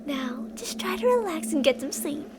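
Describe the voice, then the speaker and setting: A woman's voice: high-pitched, animated, normal speed, very noisy. A woman playing an obsessive, possessive female fan turned kidnapper in an intimate, dramatic ASMR roleplay monologue. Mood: soothing, possessive. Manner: intimate, swinging between insistent pleading and soft whispery menace.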